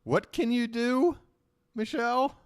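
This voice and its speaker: A man's voice: slow pace, clean tone. A man hosting a live reaction stream, riffing sarcastically over a shopping broadcast and laughing often. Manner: sarcastic, jokey, laughs often, voice jumps high when mock-ranting.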